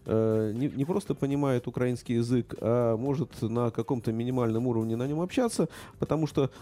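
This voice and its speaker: A middle-aged man in casual political chat, talking haltingly with many fillers and restarts. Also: medium pitch, mellow.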